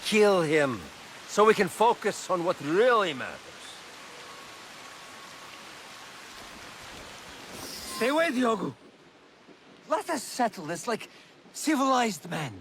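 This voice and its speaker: A man's voice: high voice, big pitch swings, unhurried, a bit bright, slight background noise, heavily acted. A man voicing an arrogant, ruthless slaver villain in a video game, pompous and taunting in manner. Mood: commanding, alarmed. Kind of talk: dramatic video game acting.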